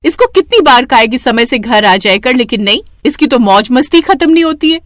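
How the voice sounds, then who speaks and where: A woman voicing a poor, hot-tempered but loving mother in a cartoon moral story, speaking in an expressive, exclaiming way. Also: high-pitched, brisk, no echo, studio quality, voice pushed up. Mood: angry muttering. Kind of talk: animated moral-story dialogue.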